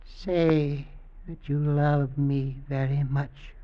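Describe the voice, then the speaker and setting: An actor playing a menacing old executioner and possessive husband, speaking in pointed, mock-affectionate questions in vintage radio drama style. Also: male voice, a little high, level tone, drawn-out words. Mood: sly false sweetness. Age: older. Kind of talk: 1940s-50s American radio drama acting. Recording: dull vintage radio recording.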